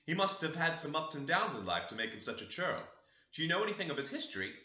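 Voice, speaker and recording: A courteous, curious gentleman from a classic novel played by a male reader. His voice is very bright and varied in pitch, with a questioning manner and a roomy echo. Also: big pitch swings, quick pace, full character voice. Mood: polite, interested. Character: inquisitive, polite gentleman tenant. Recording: roomy, echoey, slightly dull sound.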